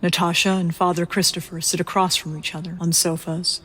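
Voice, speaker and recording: A woman's voice: lowish voice, flat delivery, brisk, a bit bright, husky. A woman reading scripted narration for an audio drama in a detached, factual manner.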